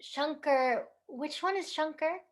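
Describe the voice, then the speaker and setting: A woman's voice: high voice, slow. A woman playing a traditional, stubborn matchmaking Indian mother in a staged reading, insistent and dismissive. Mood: brisk, eager. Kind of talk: staged reading over video call.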